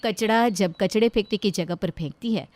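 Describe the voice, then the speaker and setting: A woman's voice: mid-range voice, moderate pace, a little mellow. A woman reading scripted narration for a children's cartoon story.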